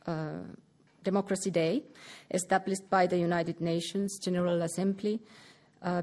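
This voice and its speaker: A middle-aged woman from Lapland with a Finnish accent, giving a formal lecture in a measured way, pausing with "uh" and restarting words. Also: fairly low pitch, warm tone. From Finland, Lapland. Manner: measured, with frequent "uh" pauses and restarts. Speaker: guest lecturer.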